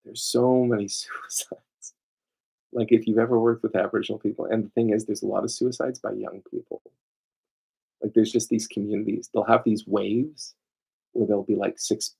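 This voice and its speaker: A man's voice: on the low side, vocal fry, a little mellow, husky, rough recording. A man giving an informal lecture as a lawyer, emphatic and digressive, often throwing rhetorical questions at his listeners. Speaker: lawyer presenting a seminar.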